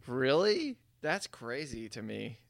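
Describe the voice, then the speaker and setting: A man's voice: mid-range voice, sing-song, takes it slowly, gravelly, dry recording. A young man co-hosting a podcast, chatting casually and reading reviews aloud in a giggly, stumbling way. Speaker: podcast co-host.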